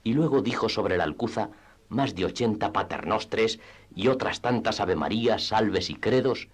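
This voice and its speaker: A man narrating a classic literary tale for radio theatre in a scripted storytelling style. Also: low-pitched.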